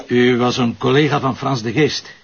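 A dull recording with clear noise. An actor plays a police inspector, courteous and matter-of-fact, in a mid-pitched drama voice. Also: male voice, fairly even intonation, normal speed, natural voice. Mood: polite, businesslike. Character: courteous male police inspector on routine inquiry. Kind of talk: naturalistic drama acting. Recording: dull, noisy recording with clicks.

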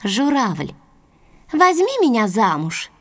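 A haughty, fickle lady heron from a children's folk tale, played by a woman. The delivery is emphatic and disdainful, with wide swings of pitch. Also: big pitch swings, slow pace, a bit bright, heavily acted. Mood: pleading.

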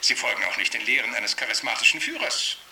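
A man's voice: mid-range voice, animated, quick pace, gravelly, pushed and bright, a little hiss or hum, exaggerated voice. An actor playing an alarmed, self-assured male cult expert, lecturing formally and emphatically in a radio drama interview. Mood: indignant, lecturing. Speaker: TV studio expert being interviewed. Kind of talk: radio drama acting as broadcast expert commentary.

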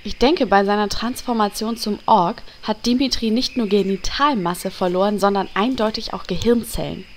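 A woman's voice: medium pitch, moderate pace, slight background noise, close to an everyday voice. A woman playing a miserable, snippy undead character in a parody audio drama, dramatic and biting. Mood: contemptuous.

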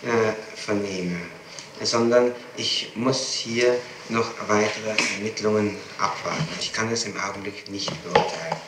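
A man speaking as a public prosecutor in formal, halting officialese during a questioning. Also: lowish voice, steady tone. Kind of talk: spontaneous official talk at a questioning. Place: echoing room, distant microphone. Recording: noisy echoey distant 1960s tape recording.

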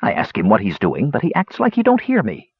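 A man's voice: lowish voice, wildly swooping pitch, fairly quick, slightly rough, no room sound, highs cut off, heavily acted. A man doing a swooping, grumpy old dwarf hermit. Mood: storytelling. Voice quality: coarse, growling. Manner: folksy, rustic, ungrammatical speech. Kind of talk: fantasy audiobook character voice.

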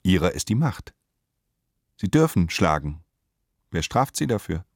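Male narrator for literary radio drama. Very low, unhurried, emphatic and measured, in a dry studio. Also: big pitch swings, dry recording. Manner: measured, declamatory, emphatic phrasing.

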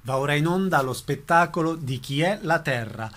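A man's voice: a little high, expressive, moderate pace, fairly bright tone. A man working as a radio announcer, reading a scripted introduction to a programme.

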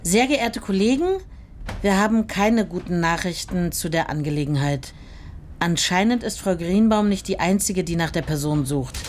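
A woman's voice: on the low side, animated, lightly acted. Formal office clerk woman, noisy room acted. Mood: formal, reluctant. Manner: officious, measured, formally polite. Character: formal female clerk at a postwar relative-tracing office. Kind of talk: radio play dialogue.

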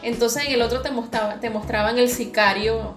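A woman's voice: a little high, fairly even intonation, fairly quick, echoey room, music in the background. A woman with a Venezuelan accent in casual conversation as a podcast co-host.

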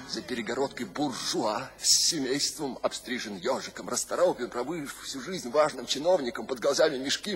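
An actor playing a weary, despairing male poet, brooding and halting, in a radio drama. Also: mid-range voice, lightly acted. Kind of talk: theatrical radio drama acting. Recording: music behind the voice. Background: music.

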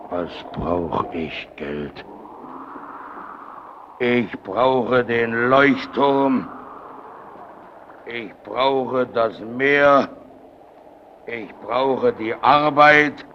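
An actor playing an anxious, stubborn lighthouse keeper in a German radio play, with a creaky voice. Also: male voice, mid-range voice, very slow, long pauses. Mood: resigned, solemn. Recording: muffled old radio recording, loud noise.

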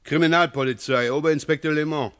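A man playing an unhurried, exacting Paris chief inspector in a 1980s radio crime drama, questioning in a measured, formal way. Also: a little high, steady tone, fairly bright tone, smooth, lightly acted. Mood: polite probing.

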